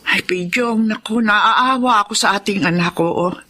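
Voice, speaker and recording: A woman's voice: mid-range voice, measured pace, bright, forceful tone. An actress playing a sympathetic, anxious older Filipino mother and grandmother in a radio drama. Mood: pitying.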